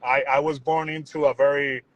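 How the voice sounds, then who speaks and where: A young man from Puerto Rico, talking in a relaxed, rambling way as a musician guest on a video call. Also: a little high, normal speed, no room sound, muffled recording. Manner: relaxed, rambling, repeats words, says "you know what I'm saying". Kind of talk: casual interview chat.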